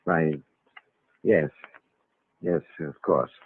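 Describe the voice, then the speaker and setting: An actor playing a solemn, suspicious estate lawyer with a formal, stiffly courteous manner in an old radio drama. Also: male voice, rumbling low, drawn-out words, breathy edge, no room sound, exaggerated voice. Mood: polite, distracted. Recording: vintage radio recording, narrow phone-like band.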